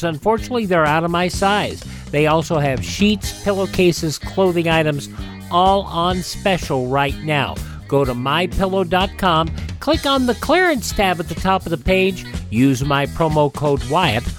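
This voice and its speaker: A man hosting a radio program, presenting and reading ads in a scripted style. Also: high voice. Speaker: radio host.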